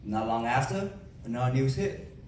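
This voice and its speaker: Vietnamese accent guy giving a motivational talk, lots of pauses and big questions, super echoey hall, mic sounds far, slight hum behind. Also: mid-range voice, lively intonation, darkish tone. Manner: emphatic, dramatic pauses, rhetorical questions. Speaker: motivational speaker. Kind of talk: keynote speech to a live audience. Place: large event hall.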